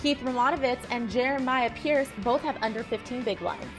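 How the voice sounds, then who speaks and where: A woman's voice: sits high, fairly quick, soft background music. A woman co-hosting a poker broadcast, giving sports-style commentary and analysis.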